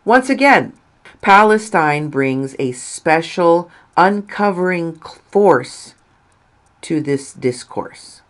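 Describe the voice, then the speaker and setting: Slow-paced woman reading aloud. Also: on the low side, expressive. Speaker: reader of an article. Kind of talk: scripted article reading.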